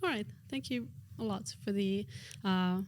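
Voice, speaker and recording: A woman's voice: medium pitch, a little slow, a little hiss or hum. Hesitant woman hosting. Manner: hesitant, many uh fillers. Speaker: podcast host. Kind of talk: podcast interview.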